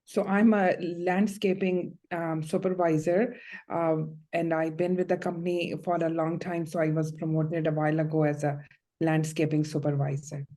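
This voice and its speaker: A woman playing a visiting client in a mock hearing, answering questions in steady, explanatory sentences. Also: sits low, normal speed.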